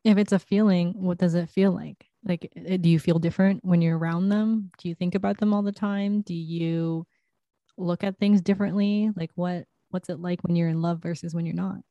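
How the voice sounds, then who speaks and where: A woman's voice: on the low side, steady tone, normal speed, no echo, dull audio. A woman interviewing a guest, asking probing follow-up questions, often several in a row.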